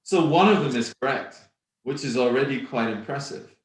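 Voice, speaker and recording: Flemish-accented man on a roomy video call. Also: mid-range voice. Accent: Flemish Belgian. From Belgium (Flanders). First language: Dutch. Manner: measured, reflective, many ums and restarts. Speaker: conference speaker, HR executive. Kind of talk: virtual conference presentation. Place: home office, indoors.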